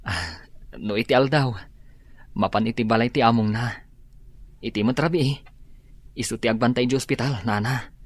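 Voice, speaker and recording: Mid-pitched weary young Filipino actor as a son. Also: male voice, normal speed, long pauses, no room sound, lightly acted. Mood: explaining. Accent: Filipino, Ilocano. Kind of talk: Ilocano radio drama acting.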